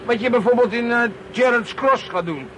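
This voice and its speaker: An actor playing a chatty, blunt, nosy lorry driver in a radio drama. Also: male voice, very high voice, moderate pace. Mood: chatty, prying. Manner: jovial, brash, prying. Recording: clear vehicle noise behind dialogue.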